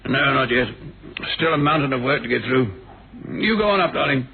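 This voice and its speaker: A preoccupied businessman of radio drama, mutters in clipped, brusque phrases. His voice is gravelly and swings widely in pitch. The old recording is thin and noisy. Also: animated, moderate pace, narrow, tinny audio. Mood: curt, distracted. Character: busy, brusque married businessman in a mystery. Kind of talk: 1970s radio drama acting.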